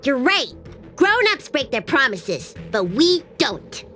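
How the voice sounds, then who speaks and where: High, bratty childlike spirit. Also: female voice, big pitch swings, slow pace, bright, forceful tone, exaggerated voice. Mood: defiant. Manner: bratty, teasing, playful. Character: mischievous childlike ghost-fire spirit, playful, possessive of its friend. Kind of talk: anime-style game voice acting. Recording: game music behind the voice.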